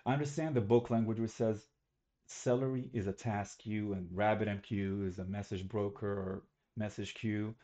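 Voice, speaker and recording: A man's voice: lowish voice, steady tone, moderate pace, dark tone. A young man from Algeria giving a recorded student presentation on a webcam, talking casually and correcting himself as he goes. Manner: casual, self-correcting, slightly rambling.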